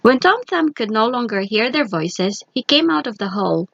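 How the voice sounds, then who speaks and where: A woman's voice: on the high side, lively intonation, moderate pace, creaky, no echo, dull audio. A woman storyteller narrating a scripted children's story.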